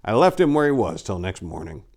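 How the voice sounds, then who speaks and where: Man playing a low-voiced boastful gentleman adventurer. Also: big pitch swings, brisk. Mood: grand, boastful. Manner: grandiloquent, theatrical storytelling. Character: boastful gallant aristocratic adventurer telling his tall tales. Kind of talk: dramatic reading of an old storybook.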